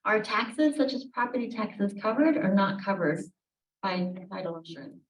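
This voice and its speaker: A woman moderating a panel, briskly reading out questions. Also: medium pitch, animated. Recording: echoey room, distant mic.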